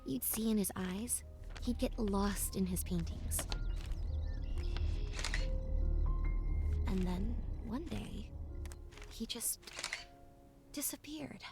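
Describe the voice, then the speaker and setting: A woman playing a thoughtful, wistful young student leaving home, narrating a game's story in a gentle, reflective storybook style. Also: mid-range voice, takes it slowly, long pauses. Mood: wistful, sad. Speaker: narrator and protagonist of a puzzle adventure game. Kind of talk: scripted first-person storybook narration. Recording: music behind the voice. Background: background music.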